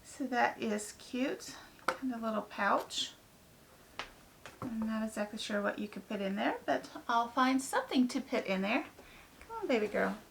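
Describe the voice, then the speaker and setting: A woman with a hoarse, raspy voice from a head cold, chatting casually through an unboxing with lots of ums. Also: a little high, lively intonation, moderate pace, slight background noise. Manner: chatty, rambling, many ums. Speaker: book vlogger.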